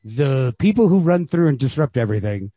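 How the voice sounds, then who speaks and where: A man's voice: mid-range voice, very animated, brisk, mellow, husky, no echo. A man hosting a podcast, casually discussing a story in a rambling way, retelling it with quoted reactions of his own. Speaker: podcast host. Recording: lo-fi home podcast recording.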